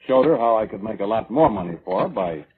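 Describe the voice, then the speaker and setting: An actor playing a defensive, weaselly brother testifying at an inquest, halting and evasive, in old radio drama style. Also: male voice, on the low side, lively intonation, lightly acted. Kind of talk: 1940s radio drama acting. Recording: tinny, noisy old radio recording.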